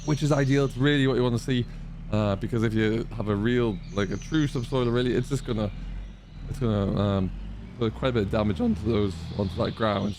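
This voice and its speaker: A man's voice: mid-range voice, background noise. A man hosting a farming roleplay gameplay video, chatting casually and explaining things as he thinks aloud. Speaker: gaming video host, playing a tenant farmer.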